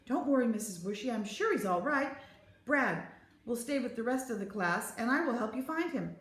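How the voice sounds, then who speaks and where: This is a woman playing a reassuring male fire captain from a children's storybook. The delivery is kind and encouraging, on the high side in pitch. There is a clear room echo. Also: normal speed, lightly acted. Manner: encouraging, calm. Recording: roomy echo.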